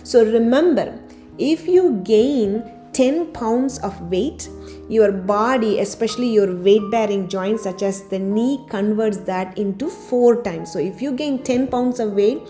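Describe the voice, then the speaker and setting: Woman doctor, slightly raspy from a cold. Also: mid-range voice, measured pace. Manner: steady explanatory delivery, lists items one by one. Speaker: doctor giving health advice. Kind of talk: informal health talk to camera. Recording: faint background music.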